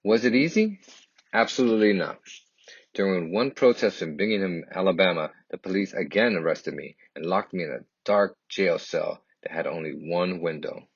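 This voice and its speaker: A man with a low, husky voice narrates a children's storybook. His delivery is earnest and deliberate, with dramatic phrasing. The recording is roomy and a little muffled. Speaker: reader of a children's picture book. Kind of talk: expressive scripted storybook narration in first person.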